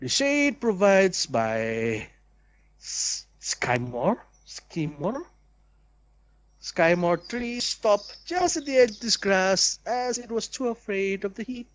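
A man's voice: high-pitched, sing-song, slow pace, dry recording. A young man reads a visual novel aloud as a let's play host, with dramatic expression and asides.

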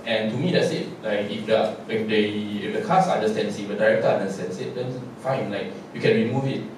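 A man's voice: lowish voice, a little fast. A man, a playwright, talking through his writing at a post-show panel on a theatre stage, loose and reflective with many fillers and restarts. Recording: echoey hall, distant mic, noise.

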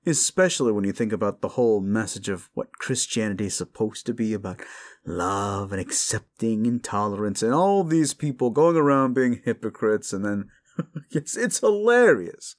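A man's voice: fairly low pitch, expressive, moderate pace, close to an everyday voice. A man voicing a cruel, condescending demon in an intimate audio roleplay, taunting the listener with drawling sarcasm. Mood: scornful amusement. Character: condescending male demon, gleefully cruel and mocking.

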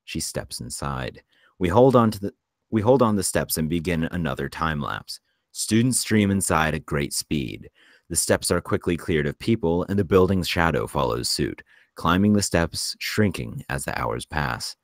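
A man reading screenplay stage directions as narrator, in a measured, descriptive way. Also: deep voice, animated, no room sound, clean studio sound.

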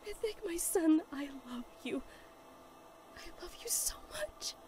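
A woman as a high-voiced, remorseful mother pony. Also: big pitch swings, slow pace, warm tone, full character voice. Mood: tearful remorse. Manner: earnest, emotional, apologetic. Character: remorseful, loving mother pony, emotional and pleading. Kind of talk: animated fan audio drama acting.

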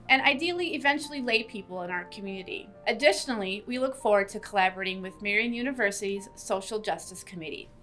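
Bright-voiced American woman, scripted announcement. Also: medium pitch. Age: middle-aged. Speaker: congregation social justice coordinator. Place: bright indoor room with large windows. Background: background music.

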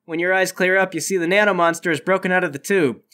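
Game master: man, high bright voice, narrating tabletop adventure. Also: steady tone, fast.

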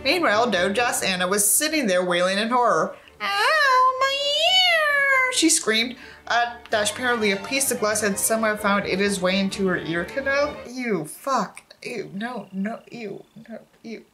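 A woman's voice: mid-range voice, lively intonation, moderate pace, music in the background. A young adult woman reading a book aloud dramatically and breaking in with sarcastic, exasperated asides. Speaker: video creator reading a book aloud with commentary.

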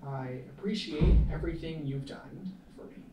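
Man playing a hesitant higher-voiced student, noisy echoey room. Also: lightly acted. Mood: reflective. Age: young adult. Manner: earnest, halting. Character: hesitant, earnest young male computer science student. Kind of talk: table read of a film script. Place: small meeting room, indoors. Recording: echoey room, distant mic, clear noise.

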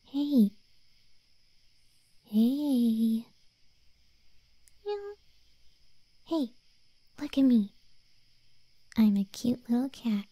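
A woman voicing a cocky, cheeky undead cat girl in a soft, breathy, slangy ASMR roleplay. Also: fairly high pitch, big pitch swings, very slow, pauses often, no room sound, exaggerated voice. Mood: cheeky, cajoling. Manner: soft and breathy, often near whispering, slangy, teasing.

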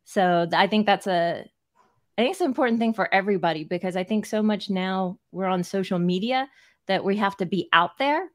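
Young woman guest co-host, mid-pitched, rambling chatty delivery on a desk mic. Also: brisk. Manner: rambling, frequent "like" and "you know". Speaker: co-host, podcaster. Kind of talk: casual interview chat. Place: home room. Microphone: desk mic on a video call.

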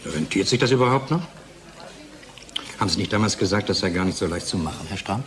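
A careful scientist in a radio play. He is sceptical and hesitant, with a fairly low voice. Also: expressive, fast talker, crowd noise. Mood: incredulous. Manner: questioning, hesitant. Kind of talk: German radio drama acting.

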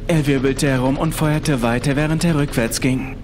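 A man's voice: mid-range voice, fairly even intonation, normal speed. A man reading a scripted first-person horror story as its narrator. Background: music.

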